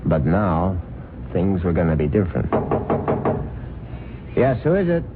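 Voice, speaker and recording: An actor playing a vain, nervous wealthy husband who narrates, smug and suave but easily rattled, in an old radio drama. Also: male voice, sits low, big pitch swings, unhurried. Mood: irritable. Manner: smug, suave, then jittery. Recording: narrow lo-fi old radio broadcast with music.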